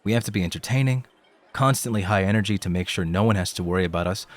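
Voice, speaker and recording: An actor playing a nervous, earnest rookie club host who talks casually and gets flustered easily in a scripted audio roleplay. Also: male voice, low voice, fast, natural voice. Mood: awkward, earnest. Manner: casual, stammering, self-conscious.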